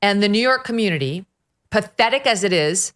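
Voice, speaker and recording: A woman's voice: medium pitch, lively intonation, brisk, a bit bright. A woman hosting a political talk show, sarcastic and emphatic in her commentary. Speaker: host. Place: at the beach.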